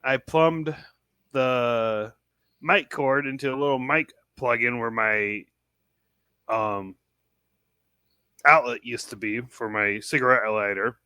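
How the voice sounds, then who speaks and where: A man's voice: mid-range voice, steady tone, takes it slowly, long pauses, bright, forceful tone, smooth, dry recording. A man talking casually as a podcast panelist, describing gear on his truck.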